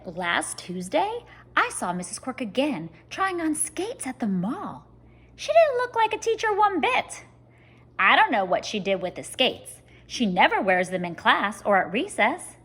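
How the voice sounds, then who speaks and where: Woman, children's read-aloud, higher pitch, very animated intonation, slightly husky breathy edge, expressive teacher delivery. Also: a little slow. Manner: expressive, addressing children directly. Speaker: teacher reading a picture book aloud.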